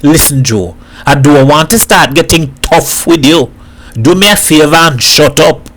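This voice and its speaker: A proud Trinidadian show-off from a storybook , played by a man narrating. His voice sits fairly high and he puts his words across emphatically and boastfully. He likes to sound American, though the island is plain in his speech. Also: measured pace, fairly bright tone, slight background noise. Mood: testy.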